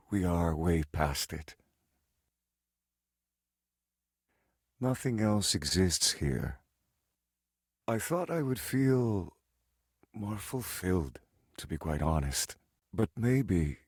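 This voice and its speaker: A grim fatalistic game prophet, really low male voice, brooding, huge cavernous reverb. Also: a little mellow, exaggerated voice. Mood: weary, empty. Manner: slow, brooding, philosophical delivery. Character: solemn, fatalistic chosen prophet of a god of fear. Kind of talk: dramatic video game voice acting.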